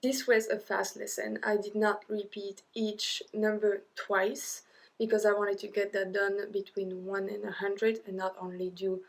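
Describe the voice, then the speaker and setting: A young woman with a French accent, teaching an online language lesson, drilling number words slowly with chatty asides. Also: mid-range voice, little pitch movement, vocal fry. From French-speaking Europe.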